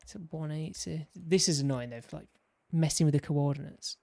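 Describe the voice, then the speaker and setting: A man's voice: on the high side, fairly quick, vocal fry, darkish tone, dry recording. A man streaming a game, losing his voice, rambling and interrupting himself as he shows off his own builds. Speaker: gaming streamer. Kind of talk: casual commentary while showing his game builds.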